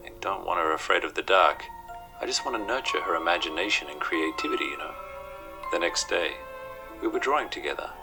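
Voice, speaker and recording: A man with a very deep voice narrates a horror story in the first person. His scripted storytelling runs over clearly audible music. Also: lively intonation, a little fast. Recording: music under the voice.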